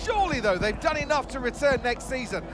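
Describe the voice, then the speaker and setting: A man playing an excitable motor racing commentator, calling the action with urgent, dramatic projection. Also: extremely high pitch, fast talker, music in the background. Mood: excited. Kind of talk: live race commentary.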